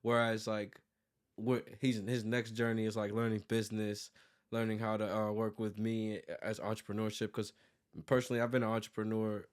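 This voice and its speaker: A man in his thirties with an American accent and a fairly low voice. He talks casually as an interview guest, rambling, sprinkling in "like" and restarting his thoughts as he explains himself. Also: little pitch movement, moderate pace, clear, resonant tone. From United States, born in New York and raised in Virginia. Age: 30s. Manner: rambling, frequent "like" and self-corrections. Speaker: interview guest, graphic designer and business owner.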